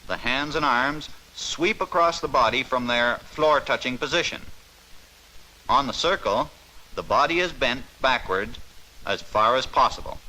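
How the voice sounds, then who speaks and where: Male narrator, 1950s instructional film style, bright forceful voice, formal, measured, mid-range pitch. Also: slight background noise, poor audio.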